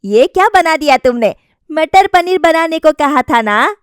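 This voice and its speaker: Woman acting a high-pitched nagging mother-in-law. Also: animated, measured pace, no echo, clean studio sound. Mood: displeased. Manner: scolding, questioning. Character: stern nagging older mother-in-law, easily displeased. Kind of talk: acted dialogue in a Hindi family story.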